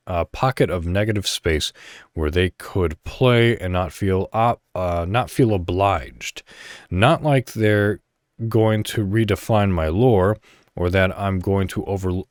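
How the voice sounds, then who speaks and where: A man with a fairly low voice reads written news copy aloud in the manner of a solo podcast host. The delivery is casual, with small stumbles that he corrects as he goes, and the sound is dry and close. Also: no room sound. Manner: stumbles over words and corrects himself. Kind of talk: reading news articles aloud with casual commentary.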